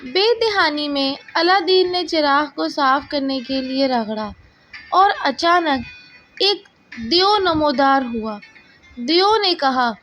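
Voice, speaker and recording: A woman's voice: sits high, animated, slow. A woman reading a scripted children's story as the storyteller. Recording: slightly dull, lo-fi narration track over music. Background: soft background music.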